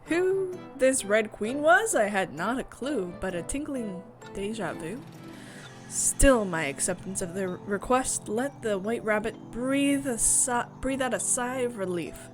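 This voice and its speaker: A woman streamer reading a visual novel aloud with theatrical, expressive narration and casual asides. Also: a little high, unhurried. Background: music.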